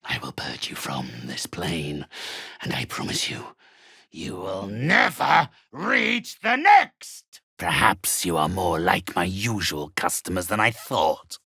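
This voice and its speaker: Raspy, creaking, haughty necromancer. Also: male voice, deep voice, huge pitch swings, slow pace, bright, forceful tone, no room sound, studio quality, full character voice. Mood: cold fury, then disdainful. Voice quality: raspy, gravelly. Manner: theatrical, condescending, menacing, formal. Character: haughty sinister male necromancer who commands spirits. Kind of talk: video game character acting.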